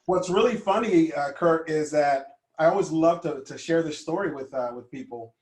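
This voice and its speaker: A man in his fifties from Honduras, a guest life coach telling his childhood story in an earnest, gentle way with many fillers. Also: a little high, moderate pace, reverberant. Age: 50s. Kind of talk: conversational storytelling.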